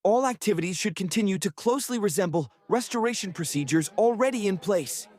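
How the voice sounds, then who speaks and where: An actor playing a fussy, worried church member who speaks anxiously. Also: male voice, high voice, lively intonation, brisk, a little mellow.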